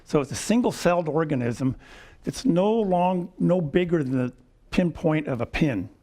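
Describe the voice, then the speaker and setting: A man in his seventies giving a lecture with personal stories, wry and chuckling, often clearing his throat. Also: on the high side, expressive, normal speed, dark tone. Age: 70s. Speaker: guest lecturer. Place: lecture hall.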